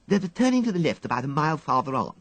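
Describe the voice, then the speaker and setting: A man's voice: on the high side, big pitch swings, very fast, creaky, dry recording, pitched above the performer's own voice, full character voice. A man voicing a twelve-year-old English schoolgirl in a storybook, cheeky and gushing in her chatter. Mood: pleased.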